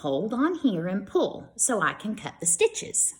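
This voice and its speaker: A woman voicing a calm, confident old grandfather in a storybook, soothing and reassuring. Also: mid-range voice, measured pace.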